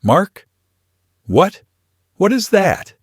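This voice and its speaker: A man's voice: high voice, big pitch swings, drawn-out words, slightly rough, no echo, clean studio sound, voice pushed up, heavily acted. A man voicing a panicked, evasive wife who pleads her case in an audio story. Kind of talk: audio story character dialogue.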